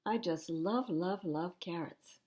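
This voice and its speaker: A woman voicing an eager little girl from a preschool storybook, with emphatic, drawn-out enthusiasm. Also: lowish voice, a little mellow. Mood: polite, eager.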